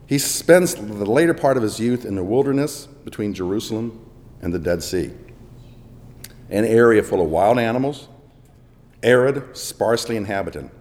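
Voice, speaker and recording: Man, preacher, sermon, dramatic, animated pitch, reverberant church hall. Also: moderate pace. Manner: dramatic, swings between booming and hushed. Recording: long echo of a large hall.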